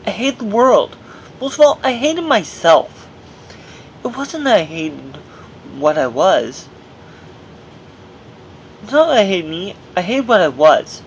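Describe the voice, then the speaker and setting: A man's voice: sits high, a little hiss or hum, poor audio. A man reading his own memoir aloud as narration, in a personal first-person delivery with dramatic emphasis, on an amateur recording.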